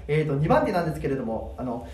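Woman, slightly low voice, very varied intonation, polite casual banter, tongue twisters, show regular. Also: sing-song, racing, a little mellow. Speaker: aspiring voice actor and show regular. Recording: echoey room, distant microphone.

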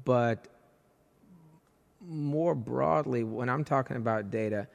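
A man hosting a casual podcast conversation, hoarse with his voice nearly gone, animated and jumping up in pitch when excited. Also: slow, long reverb tail, highs cut off. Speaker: podcast host.

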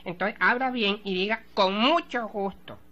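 A sly country joker who rambles and teases, padding his talk with fillers. The voice is bright and forceful, heard through a dull, lo-fi old radio sound. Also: high-pitched, expressive, moderate pace. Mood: explaining. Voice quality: rambling, filler-heavy, mocking. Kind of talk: radio drama acting.

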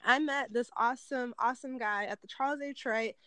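A woman in her thirties hosts an interview in a chatty, upbeat way. Her voice sits a little high. It is recorded dry and close, with no room echo. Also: fairly quick, a bit bright. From Detroit, USA. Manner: chatty, upbeat, light laughs. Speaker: podcast host. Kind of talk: casual interview chat.

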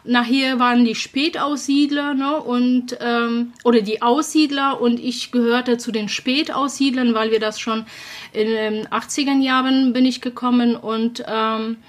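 A Polish-accented woman of about sixty chats as a guest, often pausing to search for words. She cannot pronounce the R and trills her lips instead. Her voice sits a little higher than most women's. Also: fairly even intonation. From Poland. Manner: hesitant, searching for words, many fillers. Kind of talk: casual conversation.

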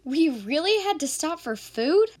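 A woman playing a feisty, exasperated young heroine in an amateur fairy-tale radio drama, sarcastic and excitable. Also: high-pitched, a little slow.

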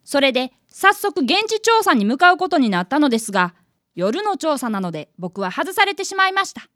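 A woman's voice: high-pitched, big pitch swings, fairly quick, a bit bright, no echo, studio quality. A woman playing a polite, timid fifth-grade boy who is an apprentice detective in a radio drama. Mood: earnest narrating. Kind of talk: radio drama narration and dialogue.

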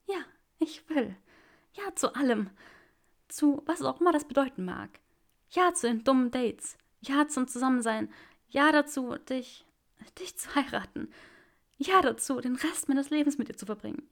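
A woman's voice: high-pitched, lively intonation, normal speed, gravelly, pitched above the performer's own voice. A woman voicing a young witch who speaks with a trembling, breathless voice full of emotion. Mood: joyful. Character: young witch, tearful and overjoyed.

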